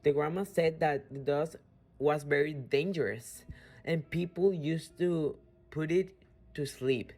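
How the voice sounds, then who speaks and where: A woman reading scripted radio drama narration haltingly, correcting small slips as she goes. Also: deep voice, warm tone. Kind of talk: scripted narration, read aloud. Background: music.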